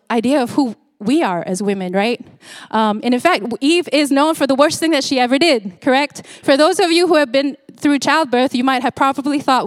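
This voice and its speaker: A woman's voice: a little high, no echo, clean studio sound. Singapore-raised woman preaching conversationally. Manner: conversational preaching with fillers and restarts. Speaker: pastor. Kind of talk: sermon.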